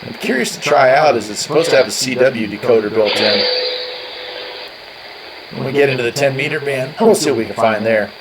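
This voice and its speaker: A man gives a casual, hands-on radio demo in a medium-pitched voice. Clear static and hiss sit behind him as he talks. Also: fairly quick. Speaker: radio reviewer. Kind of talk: casual hands-on product demonstration. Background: shortwave radio noise.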